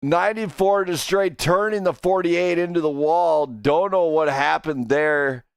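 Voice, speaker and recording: Excitable high-voiced race commentator. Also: male voice, gravelly, no echo. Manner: excitable, shouting driver names. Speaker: sim racing commentator. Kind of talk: live play-by-play race commentary. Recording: racing game engine noise behind. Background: car engine roar from the game.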